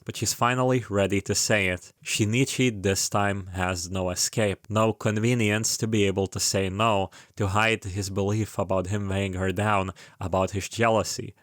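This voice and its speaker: A man's voice: lowish voice, dry recording, studio quality. A man narrating a scripted plot recap of a story, with casual, informal asides. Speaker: video creator narrating a game retrospective.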